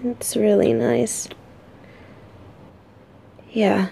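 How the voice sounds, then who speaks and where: A woman playing a female military bodyguard in a romantic audio roleplay, gruff but shy and secretly lovestruck, speaking softly and haltingly. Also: on the high side, steady tone, slight background noise, full character voice. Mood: shy, content. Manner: halting, flustered, soft-spoken.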